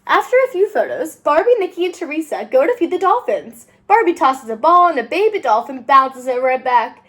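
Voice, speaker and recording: A woman reading a children's storybook aloud in an expressive, sing-song way as host of a read-aloud channel. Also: high-pitched, brisk. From United States.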